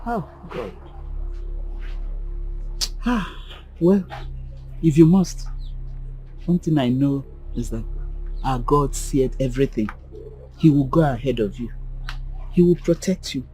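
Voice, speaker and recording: Devout, cautious adviser in film drama: slow, mellow delivery, Nigerian accent. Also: female voice, very deep voice, lively intonation, soft background music, exaggerated voice, low-quality recording. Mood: worried, pious. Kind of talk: Nollywood film acting.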